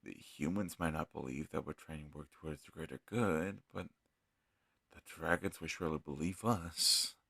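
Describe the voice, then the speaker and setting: A man playing an earnest, kind fantasy healer in an audio roleplay, speaking in a gentle, hesitant, slightly husky voice. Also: extremely low pitch, normal speed, dry recording, studio quality, heavily acted. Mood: frustrated, earnest. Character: earnest, kind young male fantasy healer, self-doubting.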